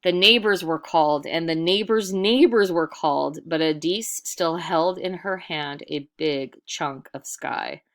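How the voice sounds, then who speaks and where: A woman storyteller reading a picture book aloud in an expressive, dramatic way with deliberate emphasis. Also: low voice.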